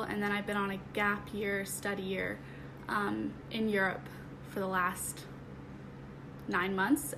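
A nineteen-year-old woman chatting as a podcast guest, friendly and a bit hesitant, with many ums. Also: medium pitch. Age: teens. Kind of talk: casual chat. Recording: clear background noise.

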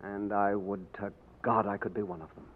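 An actor playing a bold, wry young English gentleman posing as king in a 1940s radio drama. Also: on the low side, little pitch movement, normal speed, over loud music, lightly acted. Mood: resolute. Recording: tinny 1940s radio broadcast.

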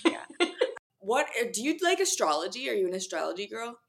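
A woman's voice: mid-range voice, very animated, a little fast, creaky, a bit bright. A young woman hosting a podcast interview, upbeat and chatty, laughing easily with her guest. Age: young adult. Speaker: podcast host. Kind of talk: casual interview chat.

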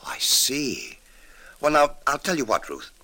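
An actor playing a gentle, guarded old man in a radio drama, speaking hesitantly and earnestly. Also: medium pitch, big pitch swings, unhurried, heavily acted. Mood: hesitant, warming. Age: older. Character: gentle, guarded old father hiding his identity. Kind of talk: 1950s radio drama acting.

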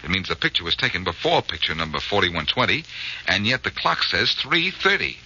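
An actor playing a confident, sharp-witted amateur detective in a 1940s radio drama, brisk and wry as he thinks aloud, on a muffled old recording. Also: sits low, very animated, slight background noise, exaggerated voice. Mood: excited deduction.

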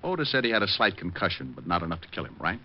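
A man's voice: sits low, very animated, rapid-fire. An actor playing a suave, wisecracking male private detective in a 1940s radio drama, wry and flippant. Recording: dull old radio broadcast.

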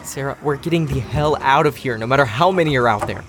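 An actor playing a loyal, caring and determined survivor in a post-apocalyptic audio drama, speaking earnestly and supportively. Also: male voice, medium pitch, fast, background noise, lightly acted. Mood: urgent.

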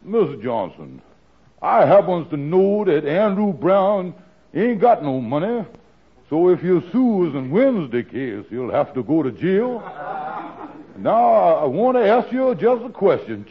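An actor playing an excitable, wheedling friend pleading a case in 1940s radio comedy, with husky, folksy ungrammatical speech on a muffled old recording. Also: male voice, a little high, heavily acted.

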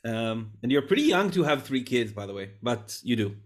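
A man's voice: medium pitch, big pitch swings, normal speed. A man hosting an interview in a casual, conversational way. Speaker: interview host. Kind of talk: casual chat.

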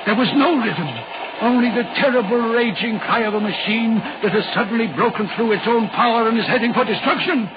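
A man's voice: extremely high pitch, brisk, background noise. An actor playing a haunted, anguished railway signalman in a brooding, dramatic 1940s radio horror play style. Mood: rising dread. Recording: muffled 1940s radio recording.

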